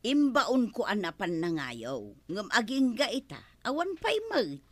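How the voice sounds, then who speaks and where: A woman's voice: fairly high pitch, wildly swooping pitch, unhurried, no echo, heavily acted. A woman playing a fretful villager in a comedy drama. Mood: anxious. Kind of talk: broadcast comedy drama acting.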